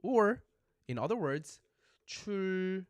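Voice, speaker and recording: A man's voice: high voice, animated, fast, no echo. A man teaching a casual livestream lesson, chatting with viewers and repeating phrases for learners. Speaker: Korean language teacher.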